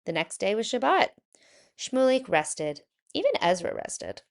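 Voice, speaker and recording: Woman, children's read-aloud narrator, expressive storytelling, widely varied pitch. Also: measured pace. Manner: animated, clearly storytelling to children. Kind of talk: expressive picture book narration.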